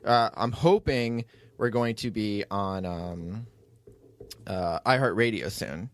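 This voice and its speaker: A man's voice: on the low side, takes it slowly. A man hosting a podcast, chatting casually and explaining things in a rambling way as he plugs shows. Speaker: podcast host and producer.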